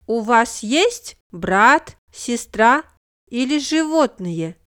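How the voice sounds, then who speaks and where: A woman narrating a scripted language lesson, speaking model phrases slowly and with clear enunciation. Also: fairly high pitch, animated, a bit bright.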